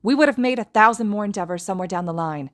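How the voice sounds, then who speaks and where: A woman's voice: medium pitch, quick pace, pitched above the performer's own voice, natural voice. A woman voicing a tense young man who argues with cold stillness and then screams in a fan audiobook. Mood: furious.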